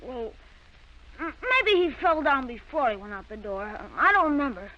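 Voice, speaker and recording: A woman's voice: sits high, sing-song, normal speed, sharp tone, exaggerated voice. A woman acting the part of a sulky, stubborn young schoolboy in an old-time radio drama. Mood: evasive. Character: defiant, fibbing young schoolboy. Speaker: radio actor. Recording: dull, lo-fi vintage radio recording.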